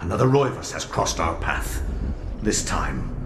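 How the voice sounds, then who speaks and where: A man playing a menacing servant of an ancient dark god in a video game, commanding and theatrical. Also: sits low, very animated, unhurried. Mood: scheming, menacing. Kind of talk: dramatic video game voice acting. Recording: echoing, noisy game cutscene audio.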